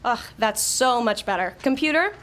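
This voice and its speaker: A woman's voice: on the high side, animated, moderate pace, a bit bright, a little hiss or hum. A woman as a bossy sardonic young woman. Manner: imperious, sardonic. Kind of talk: audio drama acting.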